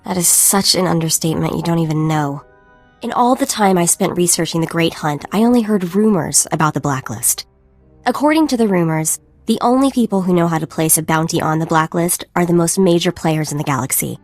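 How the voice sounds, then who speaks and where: An actress playing a young, savvy, eager bounty hunter's sidekick, casual and spirited, in a video game. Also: female voice, lowish voice, fairly quick, darkish tone, soft background music. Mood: excited, eager. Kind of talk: video game cutscene acting.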